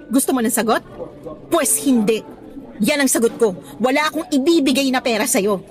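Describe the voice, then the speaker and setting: A woman's voice: high-pitched, moderate pace, darkish tone, soft background music, lightly acted. An actress playing a principled young woman teacher with a Filipino accent in a Tagalog radio drama, earnest and scolding, switching into English at times. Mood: indignant, firm.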